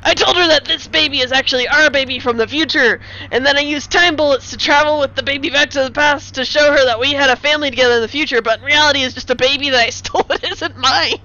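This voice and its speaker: A woman's voice: high-pitched, fast, hardly any pauses, pushed and bright, muffled recording. A woman playing a scheming, boastful male villain and love rival in a comic screenplay reading. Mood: gloating.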